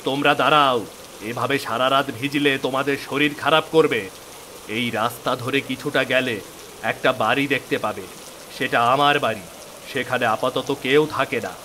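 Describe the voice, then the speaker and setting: A man's voice: mid-range voice, pushed and bright, slight background noise, natural voice. A man voicing a kindly cartoon royal minister, speaking with earnest concern. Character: kindly, caring royal minister of fairy-tale kingdom.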